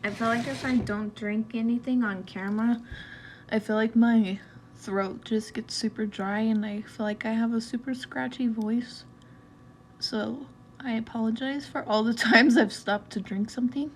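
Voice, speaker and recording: A young woman walking through a makeup tutorial in a relaxed, chatty way, with loose fillers as she goes. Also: on the high side, moderate pace, slight background noise. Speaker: beauty vlogger. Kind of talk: casual makeup tutorial narration.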